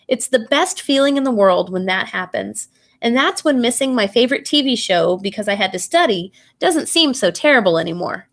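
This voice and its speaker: Woman, slightly high voice, bedtime storyteller, expressive read-aloud, engages child listeners with questions. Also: normal speed.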